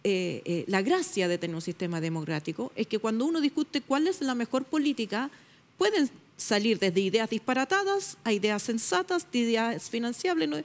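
A middle-aged woman journalist arguing her point on a television panel debate, emphatic and pausing often with fillers, recorded on a lapel mic in a studio. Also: on the high side, animated. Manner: emphatic, searching for words with many fillers.

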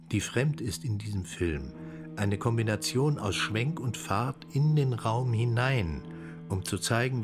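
A man reading scripted radio narration that describes a film scene as a narrator. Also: lowish voice. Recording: music behind the voice. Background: music.

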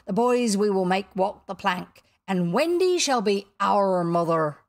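A woman's voice: mid-range voice, animated. Woman playing a menacing, grandiose pirate captain. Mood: scheming. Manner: commanding, drawn-out theatrical exclamations.

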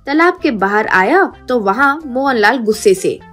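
A woman narrator reading a scripted story for an animated video. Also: fairly high pitch, unhurried, faint music behind.

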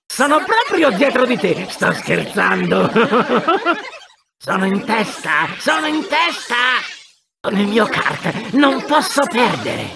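Casting: high-pitched mad cyborg scientist, cartoon racing game, hysterical, theatrical, wildly swooping delivery, noisy clip audio. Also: male voice, sing-song, takes it slowly, vocal fry, crowd noise, heavily acted. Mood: gloating. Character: manic evil cyborg scientist, small, gloating and panicky. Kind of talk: cartoon video game acting, short barks.

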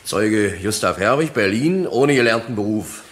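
A man's voice: lowish voice, expressive, moderate pace, a little hiss or hum, natural voice. An actor playing a chatty, irreverent working man who testifies in a rambling, colloquial way in a German radio play. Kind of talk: German radio courtroom drama acting.

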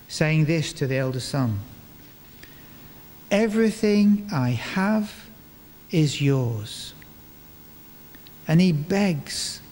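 An older man giving a sermon in a large church, speaking into a stand microphone in measured phrases with deliberate pauses. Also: fairly high pitch, a little mellow. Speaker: preacher. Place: large stone church, indoors, before a congregation. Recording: reverberant church hall, clear background noise.

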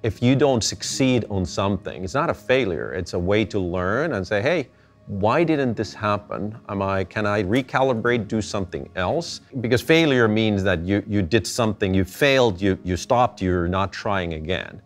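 A middle-aged man from Finland, answering interview questions thoughtfully, with halting restarts. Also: on the low side, animated, soft background music. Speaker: interview guest. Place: indoor gallery space.

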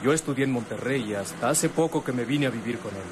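Actor as a timid young captive. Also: male voice, mid-range voice, quick pace, close to an everyday voice. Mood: guarded. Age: young adult. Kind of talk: Mexican radionovela acting. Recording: noisy old radio drama recording.

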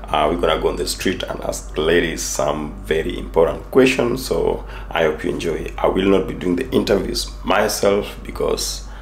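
Hoarse man with a very deep creaky voice. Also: normal speed, hardly any pauses. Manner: upbeat, direct to camera. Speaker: vlog host. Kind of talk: casual vlog intro. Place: indoor room. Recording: echoey room with faint noise.

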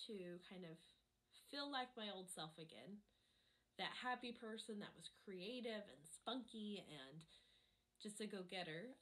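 A woman in her thirties talks through her digital painting in a relaxed, reflective voice-over. Her voice is hoarse and a little low from a cold. She pauses often with soft ums, at a middling pitch. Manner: reflective, chatty, many ums. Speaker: art channel host. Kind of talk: casual voice-over chat over a painting.

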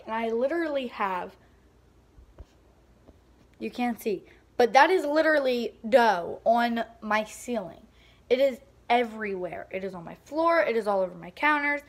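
A young woman rambling casually and mocking herself while baking in her home kitchen. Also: on the high side. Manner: chatty, self-mocking, rambling. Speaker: vlogger. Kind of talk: casual vlog narration.

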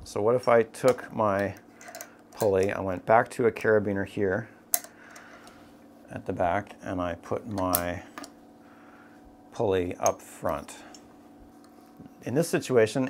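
Man explaining a rope rig step by step. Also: fairly low pitch. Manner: explanatory, step-by-step, frequent "right?" checks. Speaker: climbing instructor. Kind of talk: tabletop demonstration lesson.

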